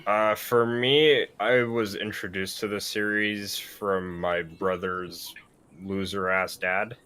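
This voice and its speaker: A man's voice: lowish voice, fairly even intonation, slow, bright, forceful tone, clear, resonant tone. A man in his early twenties chatting casually with friends during a gaming livestream Q&A. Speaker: livestream group member. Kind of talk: casual group chat, Q&A while gaming.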